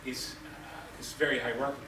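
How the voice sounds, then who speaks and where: A man's voice: medium pitch, flat delivery, brisk. Male panelist, wry and ironic, echoing distant hall sound with noise. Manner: wry, ironic, uses "like" and "right?". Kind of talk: panel discussion. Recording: echoey hall, distant mic, noisy.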